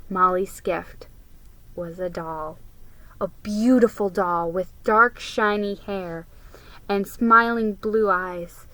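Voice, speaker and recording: A woman's voice: mid-range voice, fairly bright tone, rough recording. A woman giving a dramatic reading of a children's story, as a storybook reader.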